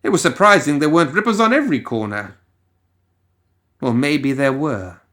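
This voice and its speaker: A man giving a dramatic, scripted story narration in a deliberate, theatrical manner. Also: on the high side, lively intonation, bright, forceful tone. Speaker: audiobook story reader.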